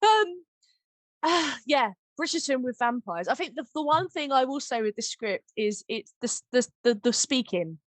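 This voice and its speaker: A woman's voice: sits high, lively intonation, normal speed, no echo. A woman hosting a casual behind-the-scenes chat in a giggly manner. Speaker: podcast host, audio drama maker.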